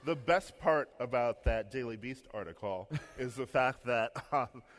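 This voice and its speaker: A man chatting casually as a panelist, deliberate and pausing for comic effect. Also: medium pitch.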